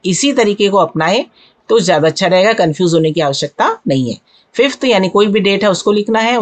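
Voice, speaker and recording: A woman with an Indian accent, a native Hindi speaker, teaching an exam-prep lesson in an instructive way, repeating and translating key phrases. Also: fairly low pitch. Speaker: English teacher.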